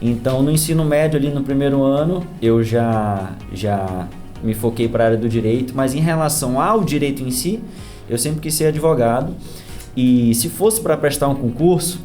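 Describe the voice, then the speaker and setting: A man's voice: mid-range voice, normal speed, a little mellow, soft background music. A young man with a Brazilian accent giving casual, explanatory answers as a podcast guest. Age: young adult.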